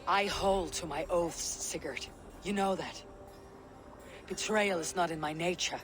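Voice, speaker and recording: A man playing a loyal, grave Viking warrior in a video game, measured and earnest in speech. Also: sits high, music in the background. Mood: troubled, earnest. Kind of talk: dramatic video game cutscene acting. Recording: loud sea and wind noise behind.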